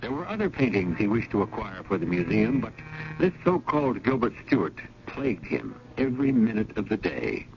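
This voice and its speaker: Male host-narrator for vintage radio mystery, creaky voice, scripted storytelling, muffled noisy broadcast. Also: on the low side, big pitch swings, moderate pace.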